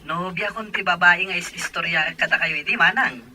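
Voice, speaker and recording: Man playing a very bright-voiced, eager young man. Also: sits high, a little fast, full character voice. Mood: happy, respectful. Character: eager, respectful young man, happily in love. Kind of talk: Ilocano audio drama acting.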